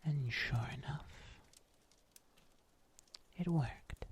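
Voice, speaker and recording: A performer voicing a calm, seasoned monster huntsman in a soft, breathy ASMR whisper, telling tales slowly and deliberately. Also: medium pitch, exaggerated voice. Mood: calm, storytelling. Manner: slow, deliberate, hushed storytelling with long pauses.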